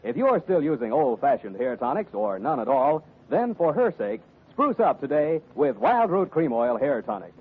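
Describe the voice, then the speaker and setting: A man's voice: a little high, sing-song, normal speed, hardly any pauses, husky. A man announcing and advertising in a polished, declamatory 1940s radio style. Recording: tinny 1940s radio recording. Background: music.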